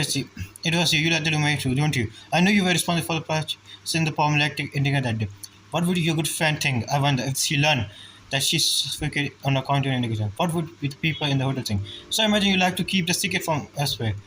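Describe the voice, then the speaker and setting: Quick, high-voiced man gaming. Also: bright, forceful tone, a little hiss or hum, rough recording. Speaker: gamer, streamer. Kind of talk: casual game playthrough commentary, reading dialogue along. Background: game music.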